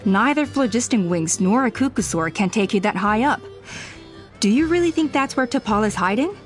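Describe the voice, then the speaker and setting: A woman's voice: a little high, animated, moderate pace, faint music behind, natural voice. A woman playing a cautious, level-headed tribe member in a fantasy video game, serious and careful in delivery. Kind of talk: video game character dialogue.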